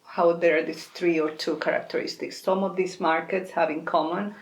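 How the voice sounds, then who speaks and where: Gravelly woman from Colombia. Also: lowish voice, fairly even intonation, low-quality recording. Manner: measured, thoughtful explanations with frequent self-corrections. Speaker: portfolio manager. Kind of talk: interview answers.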